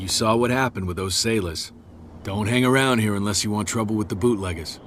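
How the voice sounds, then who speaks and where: An actor playing a wary, gruff dockside man in a video game, with a curt, folksy way of talking. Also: fairly low pitch, fairly quick, slight background noise, lightly acted.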